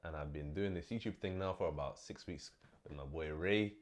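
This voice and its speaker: A young man hosting his own vlog, talking casually and a bit low on energy as he recovers from illness, with lots of fillers. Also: brisk. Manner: relaxed, chatty, many fillers. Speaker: host. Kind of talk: casual vlog talk to camera.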